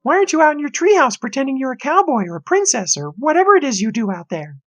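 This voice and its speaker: A man's voice: extremely high pitch, big pitch swings, quick pace, pitched above the performer's own voice. A man voicing a smug, know-it-all little sister in a snippy, dismissive manner. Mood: smug scorn.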